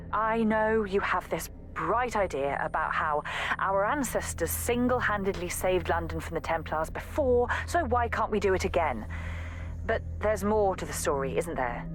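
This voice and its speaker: A woman's voice: mid-range voice, lightly acted. An actress playing an exasperated, protective young woman assassin in a video game audio log, scolding and pleading emphatically. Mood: reasoning, insistent.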